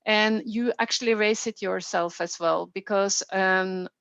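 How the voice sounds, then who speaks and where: A woman with a Norwegian accent gives unscripted formal remarks as an official at a meeting, choosing her phrasing deliberately and stressing key words. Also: mid-range voice, steady tone, gravelly, fairly bright tone, clear, resonant tone. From Norway. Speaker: health organisation official leading a consultation. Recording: roomy, dull band-limited meeting audio.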